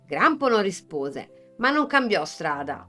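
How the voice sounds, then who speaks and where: A woman narrating a children's storybook in a gentle, measured voice at a mid pitch. She leans into pauses to build quiet suspense. Soft music plays underneath. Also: sing-song.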